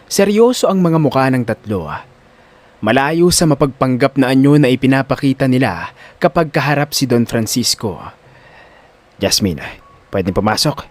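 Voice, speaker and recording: A man's voice: mid-range voice, lively intonation, normal speed. A man narrating a scripted audio drama in a dramatic storytelling style.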